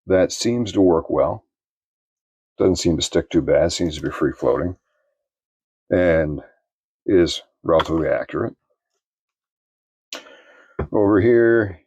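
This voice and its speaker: Low-pitched man reviewing gear. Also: warm tone, breathy edge, no echo. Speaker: product reviewer. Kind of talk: unscripted product review.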